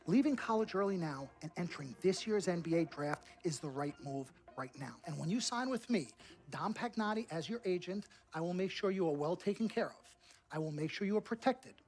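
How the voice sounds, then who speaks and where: A man's voice: sits high, expressive, brisk, a little mellow. An actor playing a slick, boastful sports agent in a game cutscene, talking in emphatic salesman patter. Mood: boastful, persuasive. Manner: emphatic salesman patter, repeats himself for effect. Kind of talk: video game cutscene acting.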